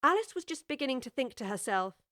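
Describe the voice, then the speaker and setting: A woman's voice: high-pitched, very animated, bright, forceful tone, no echo. Woman narrating a storybook in a dry booth. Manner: measured, clear storytelling.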